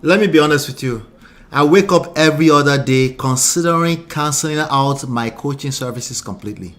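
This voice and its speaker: Brief: middle-aged Nigerian-American-accented man, somewhat high voice, laughy relationship coach. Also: a little fast. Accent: Nigerian, mixed with American. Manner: animated, laughs often, repeats words. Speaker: relationship coach and show host. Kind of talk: casual podcast chat.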